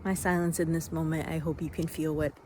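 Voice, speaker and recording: A woman giving an unscripted motivational monologue in a reflective way, in halting phrases with deliberate pauses. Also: low voice, little pitch movement, a little mellow. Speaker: vlogger giving self-help reflections. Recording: noisy, vehicle-like ambient sound.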